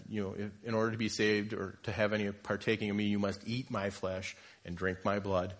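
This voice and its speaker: Male lay preacher, husky and a bit sniffly from a cold, chatty and self-deprecating in the pulpit. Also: lowish voice. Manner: conversational, jokes with congregation, self-deprecating. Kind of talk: informal sermon. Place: church.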